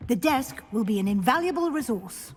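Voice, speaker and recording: A woman's voice: a little high, big pitch swings, normal speed. A woman playing a kindly, encouraging witch professor in a video game, instructive and articulate. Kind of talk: video game character acting. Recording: long reverberant hall.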